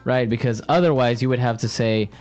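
A man co-hosting an English lesson podcast, upbeat, laughing often, slowing down to model phrases. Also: mid-range voice, darkish tone, faint music behind. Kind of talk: casual teaching chat.

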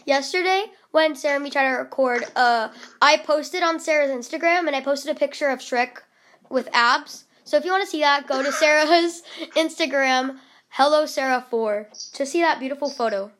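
Giggly high-voiced young woman. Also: normal speed, a bit bright. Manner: giggly, rambling. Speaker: vlog host. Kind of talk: casual chat with friends. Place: at home.

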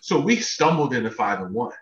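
A dull, echoey recording carries a man's voice from a little way off the mic. He banters about sports with lively intonation, emphatic and often repeating his words. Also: medium pitch, brisk. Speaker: podcast guest, sports executive. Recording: dull, roomy remote connection, distant mic.

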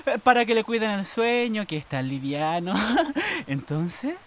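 An actress playing a timid, deferential housemaid from the rural south in a radio drama, with a Chilean accent and a hesitant, stammering delivery. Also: female voice, mid-range voice, very animated, measured pace. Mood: nervous, confiding. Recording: radio drama with music underneath.

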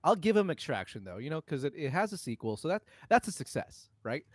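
A man co-hosting a podcast, chatting casually about films in an animated, slangy, argumentative way. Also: on the high side, fairly quick, crowd noise. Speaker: podcast co-host. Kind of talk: casual film chat.

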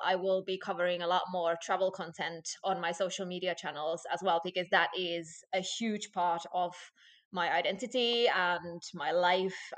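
Loud, animated woman guest. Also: fairly low pitch. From Finland. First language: Finnish. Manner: loud, animated, laughs often. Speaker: podcast guest. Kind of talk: casual interview chat.

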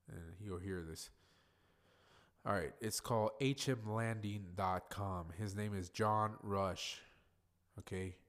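Congested, nasal male podcast host. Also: fairly low pitch. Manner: rambling, profane, loose and chatty. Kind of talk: casual solo podcast monologue.

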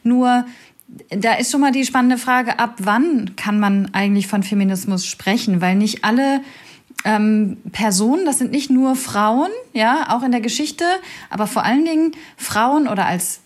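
A woman's voice: a little high, moderate pace. A woman university lecturer, a guest expert, explaining a topic at length in a thoughtful, hesitant way with many fillers. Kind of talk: explanatory academic talk.

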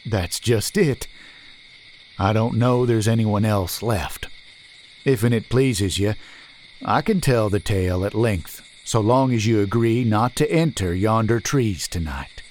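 A man's voice: on the low side, unhurried, darkish tone, slight background noise, lightly acted. A man doing a humble rustic stranger. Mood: pleading. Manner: humble, old-fashioned rustic speech.

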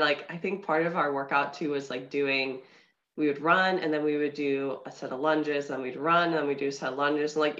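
A woman's voice: sits low, fairly bright tone, highs cut off. A young woman hosting a podcast in casual chat, her voice congested from a cold. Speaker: podcast host.

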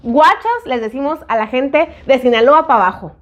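A woman from northern Mexico talks with a friend in a relaxed, agreeable way, her northern Mexican accent softened toward neutral. Her voice sits a little high. The recording sounds muffled and lo-fi. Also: normal speed. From northern Mexico (Sonora). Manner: relaxed, agreeable, frequent "ujú" backchannels. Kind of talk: casual chat between friends.

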